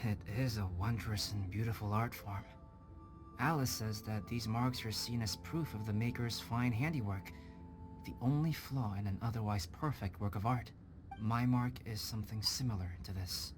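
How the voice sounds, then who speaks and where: A low, composed young man, as a male actor plays him, speaking like a thoughtful alchemist in a fantasy game. He explains things in measured, articulate phrases, with prominent music playing behind him. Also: natural voice. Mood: calm, explanatory. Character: calm, scholarly young male alchemist, artificially created human. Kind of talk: scripted English video game voice acting.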